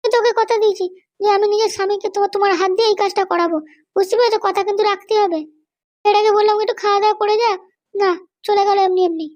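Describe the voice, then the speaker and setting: A middle-aged Bengali wife with an extremely high, childlike cartoon voice. She talks quickly and bossily, nagging and commanding, always suspicious and scheming. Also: steady tone, darkish tone. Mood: bossy. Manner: nagging, commanding, keeps demanding to be understood. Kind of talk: animated comedy cartoon dialogue.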